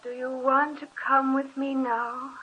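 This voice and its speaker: An actress playing the ghost of a young woman seeking revenge in a 1940s radio horror play, speaking slowly and softly. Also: high-pitched, flat delivery, breathy edge, exaggerated voice. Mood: haunting. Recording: dull old radio recording.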